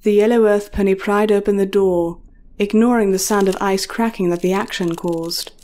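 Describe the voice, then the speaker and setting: A woman narrates a written story in a dark, mellow voice. Her pitch sits in the middle range as she delivers scripted prose. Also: fairly even intonation, normal speed.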